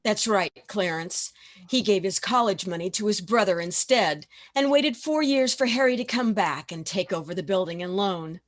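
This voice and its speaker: A woman's voice: medium pitch, animated, moderate pace, lightly acted. A woman playing a patient heavenly storyteller in an amateur radio play. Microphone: video call.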